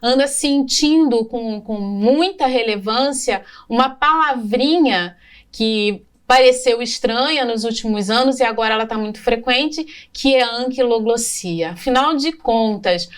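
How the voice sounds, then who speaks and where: Woman host, fairly high voice, slow thoughtful delivery, a little distant from the mic. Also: smooth. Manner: talks slowly and reflectively, with restarts. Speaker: podcast host; health professional. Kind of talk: podcast interview.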